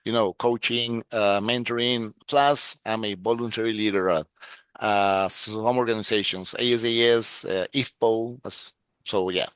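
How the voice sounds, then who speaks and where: Halting Ecuadorian man, smooth dry voice. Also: lowish voice, fairly even intonation, a bit bright, dry recording. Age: middle-aged. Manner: halting, many restarts and fillers. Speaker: guest, security expert. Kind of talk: casual interview talk.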